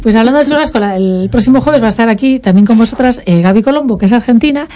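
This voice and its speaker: A woman hosting a local radio show, lively as she reads out listener messages. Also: fairly high pitch, fast, crowd noise. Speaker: radio host. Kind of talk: casual radio chat.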